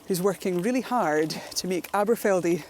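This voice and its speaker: A Scottish woman talking in a relaxed, reflective way while floating down a river outdoors. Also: on the low side, moderate pace, a little hiss or hum. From Scotland. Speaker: presenter, endurance cyclist. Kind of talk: casual outdoor narration.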